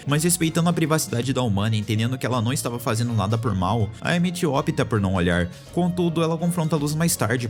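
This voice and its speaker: A man with a Brazilian accent narrating a casual opinion video essay as a channel host. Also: medium pitch. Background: background music.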